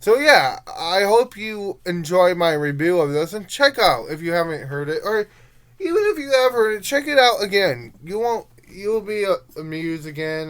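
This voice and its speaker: A young adult giving a casual review monologue in a rambling, informal way, halting and letting thoughts trail off. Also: mid-range voice, a bit bright. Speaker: amateur reviewer.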